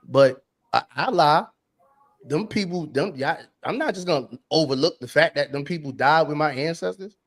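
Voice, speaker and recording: A man from New York, a podcast co-host, talking bluntly in casual debate, full of slang and repeating himself. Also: a little high.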